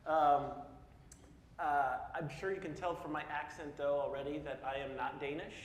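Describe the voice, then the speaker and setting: A man's voice: on the high side, steady tone, moderate pace. A man in his forties from Peoria, Illinois, giving a casual, self-deprecating conference talk and asking the audience questions. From Peoria, Illinois (US Midwest). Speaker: speaker at a public talk. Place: large hall in front of an audience. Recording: echoey hall with faint noise.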